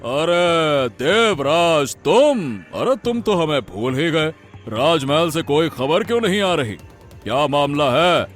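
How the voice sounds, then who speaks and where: A man playing a rough, impatient bandit chief in an animated folk tale, gruff, rustic and blustering. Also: on the high side, takes it slowly, faint music behind. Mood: impatient, demanding. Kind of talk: animated Hindi moral-story voice acting.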